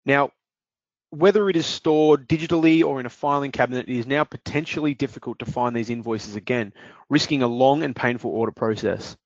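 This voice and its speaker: A man presenting a product demo on a webinar, talking in a measured, explaining way with some fillers. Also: mid-range voice, no room sound. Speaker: webinar presenter, business development manager. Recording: dry dull lo-fi audio.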